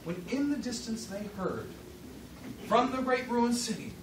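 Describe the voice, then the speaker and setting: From a distance in a noisy room comes a woman telling a children's story live. Her voice is high and very expressive, with dramatic delivery and short phrases offered for children to repeat. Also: a little slow, roomy sound. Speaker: storyteller leading a children's puppet show.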